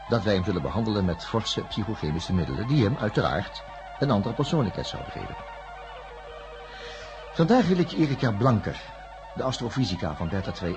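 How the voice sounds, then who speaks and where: An actor playing a calm, patient male psychiatrist in a science fiction radio drama, speaking formally and deliberately. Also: lowish voice. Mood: formal, measured. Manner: measured, formal, deliberate phrasing with pauses. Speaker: doctor. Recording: dull lo-fi radio recording, music.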